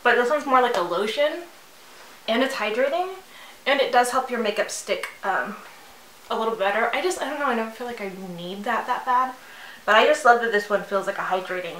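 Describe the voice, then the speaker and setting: A woman's voice: fairly high pitch, animated, brisk, fairly bright tone, a little hiss or hum. A woman in her thirties chatting as a beauty vlogger while doing her makeup, giggly and rambling with fillers. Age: 30s. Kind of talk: chatty get-ready-with-me. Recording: echoey room.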